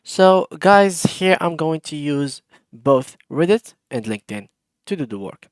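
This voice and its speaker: A man's voice: fairly high pitch, very animated, a little slow, no room sound. A man with a Moroccan accent giving a casual screen-share tutorial, explaining informally with some hesitation and repetition. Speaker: tutorial host.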